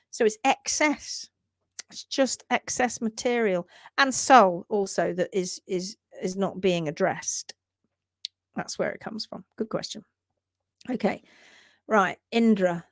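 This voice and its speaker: A chatty woman hosts a live hoof-care stream with a fairly high voice, words tumbling out fast and doubled back on themselves. She laughs easily between points. The sound is close and dry with no room echo. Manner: rapid-fire, repeats words, chatty; laughs often. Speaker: livestream host, hoof care teacher. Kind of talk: casual live-stream teaching and reading viewer posts. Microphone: livestream setup.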